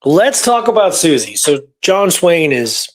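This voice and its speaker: A man's voice: on the high side, big pitch swings, unhurried. A man hosting a podcast, talking casually about history in an informal, explanatory way and repeating points for emphasis. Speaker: podcast host. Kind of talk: casual conversational history talk.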